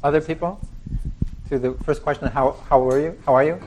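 A man's voice: fairly high pitch, brisk, background noise. Chuckling man leading a group discussion. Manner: relaxed, chuckling, with restarts. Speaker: meditation group leader. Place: meeting room with a group.

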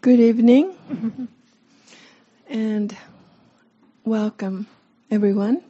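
A woman hosting a meditation evening, introducing the guest teacher with many pauses and ums. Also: fairly high pitch, mellow, breathy edge, dull audio. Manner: hesitant, many ums and uhs. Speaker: host introducing the speaker. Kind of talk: welcoming introduction.

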